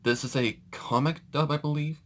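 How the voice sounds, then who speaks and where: A man's voice: fairly high pitch, steady tone, normal speed. A man hosting a reaction video, giving casual commentary in an informal, hesitant, rambling way.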